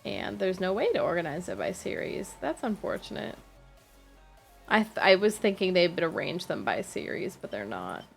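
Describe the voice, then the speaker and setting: A woman livestreaming a game with casual, rambling commentary, thinking aloud in a halting way and questioning herself. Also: fairly low pitch, very animated, vocal fry, faint music behind.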